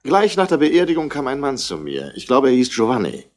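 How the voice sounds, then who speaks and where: A man's voice: medium pitch, huge pitch swings, brisk. An actor playing a haughty, irritable wealthy man in a 1960s radio play, speaking in a curt, formal way. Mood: cool, formal.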